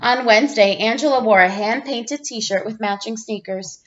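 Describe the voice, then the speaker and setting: A woman reading a children's picture book aloud like a teacher, with expressive storytelling emphasis. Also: on the high side, moderate pace, lo-fi sound. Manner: animated character voices, clear storytelling emphasis.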